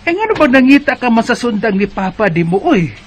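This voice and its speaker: A woman playing a fussy, excitable village mother who scolds and exclaims, in a Bisaya radio drama with a Cebuano accent. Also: on the high side, a little fast, slight background noise. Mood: fussy, chiding. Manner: exclamatory, nagging.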